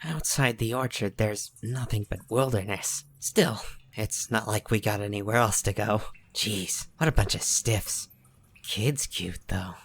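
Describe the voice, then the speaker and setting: A man playing a cynical, hard-bitten dead man who narrates a comic dub in a husky, world-weary, folksy way. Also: on the low side, measured pace. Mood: sardonic, wary.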